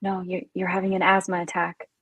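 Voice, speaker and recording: A woman's voice: fairly low pitch, completely flat delivery, fairly quick, husky. A woman reading the part of a frightened but take-charge young camp counselor in a live slasher script read. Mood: worried.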